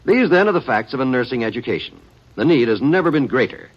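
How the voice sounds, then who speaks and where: A man's voice: medium pitch, lively intonation, fast. An American man reading scripted announcements in the formal style of a 1950s radio announcer. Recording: dull vintage radio recording, faint music.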